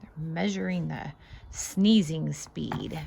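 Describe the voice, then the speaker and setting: A woman reading a children's picture book aloud as host, expressive and sing-song, stressing the rhymes and adding casual asides. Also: sits low, takes it slowly, gravelly, slight background noise.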